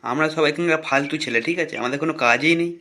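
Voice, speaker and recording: A man's voice: on the high side, rapid-fire, bright, forceful tone, a little hiss or hum. A man voicing a nosy, streetwise leader of idle neighbourhood lads in a comic cartoon, slangy and exclamatory. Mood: curious, teasing.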